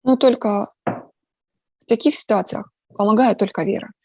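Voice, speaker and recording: Ukrainian woman guest, gravelly, reflective, earnest, lots of fillers, close and dry sound. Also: medium pitch, measured pace, a little mellow, dry recording. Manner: earnest, talks about faith. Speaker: interview guest. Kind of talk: casual conversational interview.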